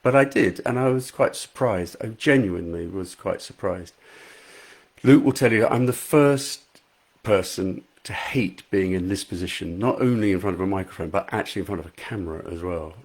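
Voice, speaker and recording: A man's voice: sits low, moderate pace, breathy edge. A man with a soothing voice, an author chatting casually on a live stream from a small room at home, reading out viewer comments and poking fun at himself. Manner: chatty, self-deprecating, reads out viewer comments. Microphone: high quality microphone.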